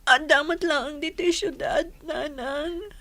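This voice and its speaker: A woman's voice: high voice, fairly even intonation, a little slow, sharp tone, exaggerated voice. An actress playing a distressed, pleading young woman in an Ilocano radio drama. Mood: tearful, ashamed. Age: young adult.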